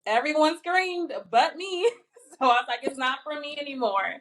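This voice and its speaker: A woman guest telling a lively anecdote during a casual chat over a video call. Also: high voice, normal speed, poor audio. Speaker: guest, mother of twins.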